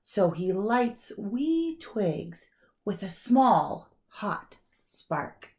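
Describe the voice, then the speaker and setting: A woman reading a children's storybook aloud like a kindergarten teacher, expressive, with deliberate dramatic pauses and emphasis. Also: mid-range voice.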